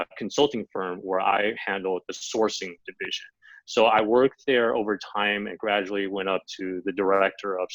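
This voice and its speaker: A low-voiced American man in his thirties talks casually as a podcast guest, leaning on "um" and "you know" as he goes. His voice comes over a dull, dry line. The tone stays relaxed and conversational. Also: dry recording. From United States (Los Angeles). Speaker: guest, Amazon seller and sourcing coach. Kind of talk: podcast interview, casual storytelling.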